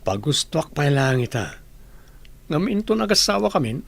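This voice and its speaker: A young suitor in a radio drama. He is a young man with a fairly high voice, bantering in scripted dialogue. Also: very animated, normal speed, gravelly, husky, heavily acted.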